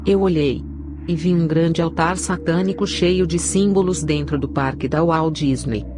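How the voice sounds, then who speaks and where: A woman's voice: deep voice, measured pace, noisy background. A woman with a Brazilian accent reading a scripted narration of a religious testimony. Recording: clear background music under the voice. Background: music.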